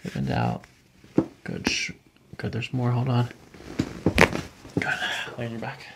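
A middle-aged man speaking as a chiropractor, calmly and gently guiding a patient step by step in a reassuring, gravelly way. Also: mid-range voice, a little slow, very noisy. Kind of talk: calm step-by-step instruction to a patient during treatment. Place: small office treatment room, indoors. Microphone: microphone on boom arm near the table.

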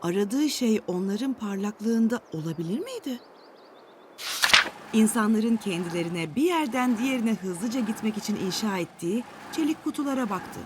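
A woman reading a scripted children's storybook tale with an expressive storytelling delivery. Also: medium pitch, moderate pace, noisy background.

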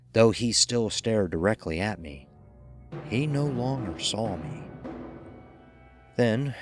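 An actor playing a cold, ruthless, dying rich gambler narrating a murder in a brooding, deliberate, theatrical horror style. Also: male voice, fairly low pitch, natural voice. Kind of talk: dramatic first-person horror audio narration.

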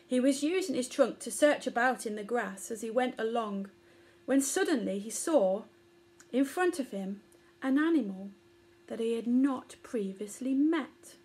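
A woman's voice: fairly high pitch, animated, a little slow, a little mellow. A woman in her thirties narrating a children's story expressively. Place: small living room or bedroom, indoors.

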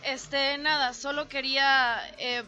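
A woman's voice: high voice, fairly even intonation, unhurried, pushed and bright, soft background music. A young woman from Venezuela making a sincere, hesitant appeal on a radio show into a desk mic. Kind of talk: heartfelt spoken appeal. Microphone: desk microphone on stand.

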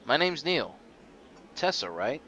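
Casting: man voicing a boyish young ex-runner, high lively voice, dull lo-fi sound. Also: slow pace, full character voice. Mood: easygoing. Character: young male ex-athlete, injured, Neil.

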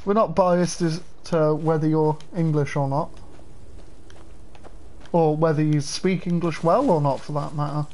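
A man's voice: fairly high pitch, fairly even intonation, unhurried, a little mellow. A young man casually talking through a livestream while playing, chatty and swearing at the game. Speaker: game streamer.

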